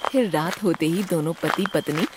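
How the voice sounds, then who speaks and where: A woman's voice: deep voice, moderate pace, background noise. A woman narrator reading a scripted children's story.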